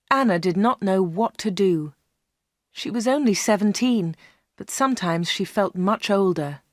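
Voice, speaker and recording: Brief: woman narrator, slow and clear for learners, dry close studio mic. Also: mid-range voice, no room sound. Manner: clear and measured. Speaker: audiobook narrator. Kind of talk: slow read-along storytelling for learners.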